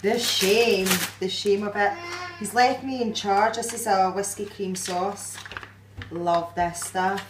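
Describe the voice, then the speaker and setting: A woman's voice: mid-range voice, fairly even intonation, slow pace, background noise. A woman, chatting casually into a phone held at arm's length for a holiday vlog, rambling with lots of fillers. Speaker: vlogger.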